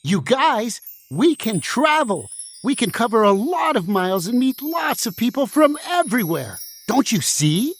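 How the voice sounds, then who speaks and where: A woman voicing an innocent, excitable banned storybook in a stage puppet musical. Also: fairly high pitch, measured pace, slightly rough, faint music behind, exaggerated voice. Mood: excited, hopeful. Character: wide-eyed, eager banned storybook puppet.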